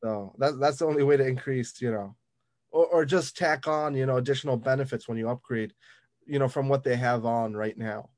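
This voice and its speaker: Man with medium pitch, dry studio-like sound. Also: fairly quick, a little mellow, no room sound. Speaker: podcast co-host. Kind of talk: casual business chat.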